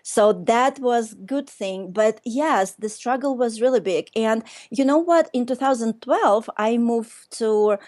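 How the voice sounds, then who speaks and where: A woman from Russia with a Russian accent, speaking earnestly as an interview guest in slightly halting English. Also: a little high, a little mellow. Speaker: guest, workshop teacher. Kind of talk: interview conversation.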